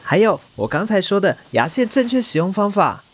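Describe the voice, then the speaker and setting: A man's voice: high voice, very animated, fairly quick, a little hiss or hum. An actor playing a cute, muddled young male dentist in a radio drama, boyish and stammering when flustered, with a Taiwanese Mandarin accent. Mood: professional. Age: young adult.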